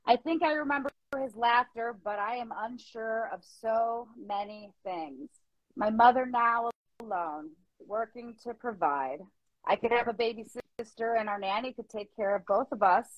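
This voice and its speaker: A woman's voice: on the high side, dry recording. A woman speaking in an earnest, emotive way at a spoken-word open mic. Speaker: open mic poetry reader and participant. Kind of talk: dramatic poetry reading and casual discussion. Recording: muffled lo-fi dry audio.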